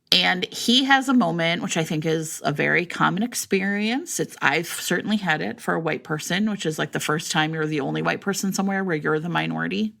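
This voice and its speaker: A woman co-hosts a podcast with a bright, forceful tone and a gravelly edge. She talks loosely and chattily, leaning on fillers. Her voice sits somewhat low. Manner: chatty, heavy use of "like" and "right?". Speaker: podcast co-host. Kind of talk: casual book discussion.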